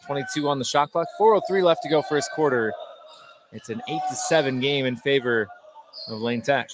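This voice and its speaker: A man calling live high school basketball play-by-play as a radio commentator. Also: normal speed. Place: indoor basketball gym during a game. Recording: noisy gym ambience, bouncing basketballs. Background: loud gym ambience, basketball bounces.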